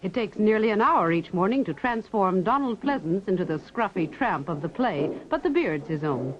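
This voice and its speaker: A woman reporter reads polished newsreel narration with a lively, animated lilt. Her voice sits in a middle register for a woman. The sound is muffled and dull, like a 1960s film soundtrack. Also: mid-range voice, moderate pace, slight background noise. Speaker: reporter and presenter.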